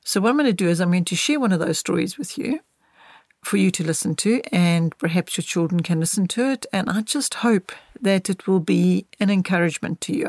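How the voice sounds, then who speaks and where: A middle-aged woman hosting a podcast in a casual, conversational way, gently wishing listeners well and repeating phrases for emphasis. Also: lowish voice, no echo, studio quality. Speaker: podcast host.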